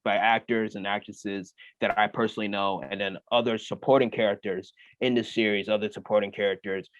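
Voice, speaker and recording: Low-voiced man, relaxed and filler-heavy. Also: brisk, slight background noise. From United States. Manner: relaxed, lots of fillers like "uh" and "you know". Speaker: comedian guest. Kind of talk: casual interview answers. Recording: muffled dry remote audio.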